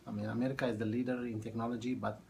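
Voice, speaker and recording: Ethiopia-born guy in his 30s, gravelly low voice, lots of uh and um, slight noise. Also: flat delivery, a little mellow. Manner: halting, many fillers and restarts. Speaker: math teacher. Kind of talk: oral history interview.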